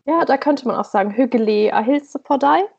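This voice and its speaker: A woman's voice: high voice, fairly quick, vocal fry, darkish tone, husky, lo-fi sound. A woman chatting hesitantly as an interview guest over an internet call, often searching for words. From Germany. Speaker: podcast guest, emigrant blogger. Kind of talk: casual conversational interview.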